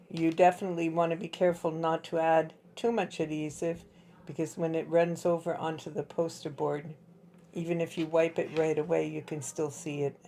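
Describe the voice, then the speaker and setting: Husky low-voiced woman teaching a craft. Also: dark tone, slight background noise. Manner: chatty, explains while working with her hands. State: slightly hoarse from dry air. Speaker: craft tutorial host. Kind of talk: relaxed step-by-step craft tutorial.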